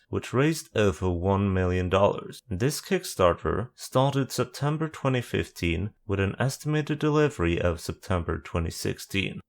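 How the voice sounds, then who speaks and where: A man narrating a scripted video essay, reading dates and facts with dry, sarcastic asides. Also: fairly low pitch. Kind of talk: scripted voice-over commentary.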